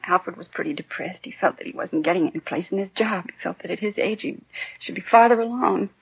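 A woman's voice: on the low side, fairly quick, gravelly, husky, no room sound, exaggerated voice. An actress playing a defensive, nagging housewife and mother in a 1950s radio crime drama, testy and insistent. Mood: subdued, reluctant. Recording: dull lo-fi 1950s radio recording.

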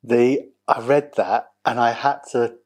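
A man's voice: medium pitch, steady tone, darkish tone. Hesitant male interview host. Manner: halting, with many restarts and fillers. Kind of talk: conversational interview.

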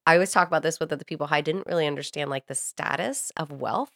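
A woman's voice: low-pitched, fast talker, vocal fry, fairly bright tone, no echo, clean studio sound. An American woman telling personal stories in a casual, candid, informal way, with some swearing. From Saudi Arabia, raised by a US family on a compound. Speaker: podcast co-host. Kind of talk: casual chat, personal storytelling.

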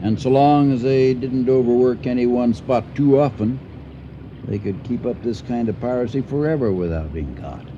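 An actor playing a calm, shrewd elderly farmer, speaking in a measured, probing way in a radio drama. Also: male voice, mid-range voice, natural voice. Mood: wary, probing. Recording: dull lo-fi radio recording, faint ambient noise.

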